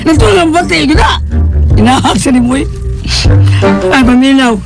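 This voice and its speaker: A man playing an aggrieved old grandfather in a radio drama, pleading and halting with emotion. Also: extremely high pitch, lively intonation. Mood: exasperated. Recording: radio drama with music behind.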